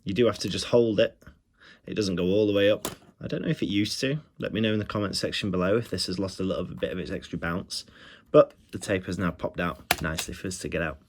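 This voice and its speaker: A man's voice: low voice, fast, background noise. A man hosting a casual hands-on product review, chatting enthusiastically about small details. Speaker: retro electronics review host.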